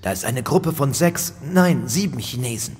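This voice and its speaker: Ein Schauspieler spielt in einem Hörspiel einen ernsten, loyalen Verbündeten eines Inspektors, natürlich und eindringlich gesprochen. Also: male voice, medium pitch, lively intonation, normal speed, a little mellow, slightly rough. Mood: curious, tense. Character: earnest, loyal male ally of the inspector. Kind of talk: naturalistic audio drama acting. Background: music.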